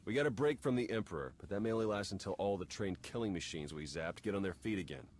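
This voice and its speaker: A man's voice: deep voice, animated, fast, darkish tone, a little hiss or hum. A man playing a weary, dry-witted, resolute intelligence agent in a video game, speaking in clipped, understated lines. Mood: urgent.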